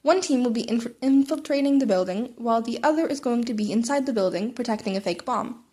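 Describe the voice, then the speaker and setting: A woman's voice: a little high, animated, brisk, dark tone, breathy edge. A woman voicing a confident male superhero teacher who announces instructions encouragingly in a fan fiction audiobook. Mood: instructing.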